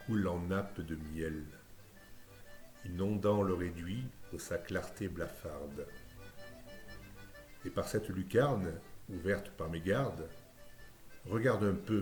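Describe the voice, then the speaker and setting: Low-pitched male voice, poetry recitation, lyrical measured phrasing, unhurried pace, music in the background. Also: a little mellow.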